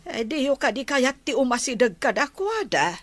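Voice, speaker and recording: A woman playing a caring grandmother in an Ilocano radio drama, gentle and explanatory, with an Ilocano accent. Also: sits high, big pitch swings, moderate pace, no echo, exaggerated voice. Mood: gentle, explaining. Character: caring, slow-spoken grandmother of a blind student.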